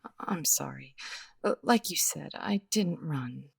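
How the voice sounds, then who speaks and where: An actress as a jaded widow from an old radio detective play. Her voice sits very low and crackles with fry. She measures her words, guarded and bittersweet, hesitating as she goes. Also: expressive, full character voice. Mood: subdued, resigned. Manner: world-weary, hesitant, measured. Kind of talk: 1950s radio detective drama acting.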